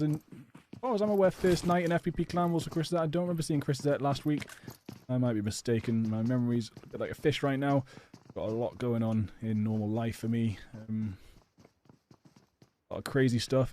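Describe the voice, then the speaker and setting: A man's voice: medium pitch, dark tone, a little hiss or hum. A British man giving casual, rambling live game commentary as an esports caster, chatty and a little hesitant. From England. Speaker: esports caster and stream host. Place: home or personal studio.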